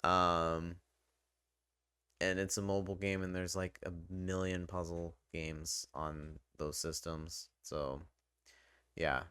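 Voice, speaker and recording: Low-voiced casual man, crisp and bright. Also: little pitch movement, slow pace, no echo, clean studio sound. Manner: informal, hesitant, self-deprecating. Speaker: video game reviewer and channel host. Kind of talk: casual unscripted commentary.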